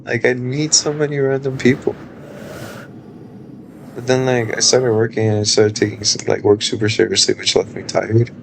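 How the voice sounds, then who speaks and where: A man in his thirties chatting casually on a live stream, loose and rambling with lots of fillers and a low speaking voice. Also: level tone, moderate pace, gravelly, background noise. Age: 30s.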